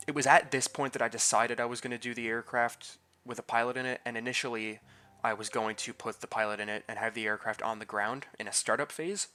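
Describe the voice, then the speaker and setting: A man's voice: mid-range voice, fairly even intonation, fast, pushed and bright. A man narrating his model build in a casual voice-over. He sounds a bit nasal and congested from a head cold and talks loosely, with many fillers and restarts. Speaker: scale model builder and channel host.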